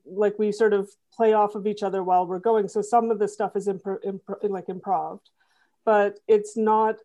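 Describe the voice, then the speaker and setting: Mellow-voiced woman, earnest podcast guest. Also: medium pitch. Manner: earnest, rambling with fillers. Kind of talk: casual interview.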